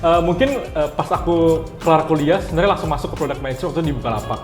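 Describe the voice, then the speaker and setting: Fast-talking young man. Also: fairly high pitch. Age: 20s. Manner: informal, mixes in English words. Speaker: guest, startup founder. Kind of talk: casual interview answers. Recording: roomy echo with music behind.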